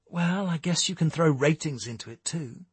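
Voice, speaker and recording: A man's voice: fairly high pitch, moderate pace, breathy edge, no echo, pitched above the performer's own voice. A man voicing a domineering, manipulative woman boss in an audiobook, with a cutting, taunting manner. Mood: dismissive. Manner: taunting, cutting, mocking endearments.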